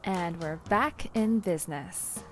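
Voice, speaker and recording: A woman's voice: on the low side, slow, faint music behind. A woman playing a teasing, unflappable and secretive co-worker in an audio drama, playful and nonchalant. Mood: playful, smug. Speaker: podcast drama actor.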